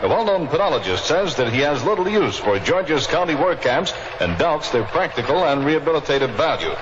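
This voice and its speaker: A male news anchor reading a scripted 1960s radio bulletin in a fairly high voice. The old recording is dull and clearly noisy. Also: sing-song, brisk.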